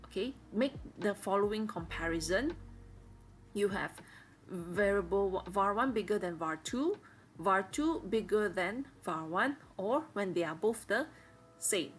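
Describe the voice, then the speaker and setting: A woman's voice: mid-range voice, animated, measured pace, soft background music. A woman teacher patiently walking students step by step through programming exercises in a screen-recorded lesson.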